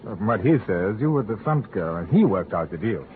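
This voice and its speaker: A man's voice: fairly low pitch, very animated, brisk. An actor playing a brash, flirtatious, pushy male crook in a radio suspense drama, talking casually. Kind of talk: 1950s radio drama acting. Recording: noisy, tinny old radio recording.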